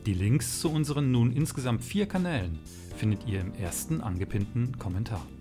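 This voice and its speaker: A man's voice: medium pitch, expressive, fairly quick. A man reading a scripted introduction as a channel announcer. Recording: music behind the voice.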